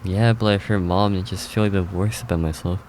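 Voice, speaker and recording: A man's voice: deep voice, fairly even intonation, brisk, darkish tone, a little hiss or hum, natural voice. A man playing a troubled, self-doubting Japanese-American schoolboy in an audio drama, earnest and confessional. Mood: subdued. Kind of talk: audio drama monologue and dialogue.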